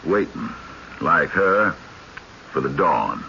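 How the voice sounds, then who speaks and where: An actor playing a stubborn, self-assured detective with terse, weighty, withholding delivery in an old-time radio drama. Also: male voice, very deep voice, very animated, slow, creaky, pushed and bright, full character voice. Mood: cryptic, grave. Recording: muffled, noisy vintage radio recording.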